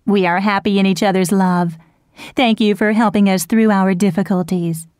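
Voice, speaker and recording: A woman's voice: medium pitch, steady tone, moderate pace, a little mellow, dry recording, clean studio sound, lightly acted. A woman reading the letter of a sugary, scheming young woman in a sweetly formal manner.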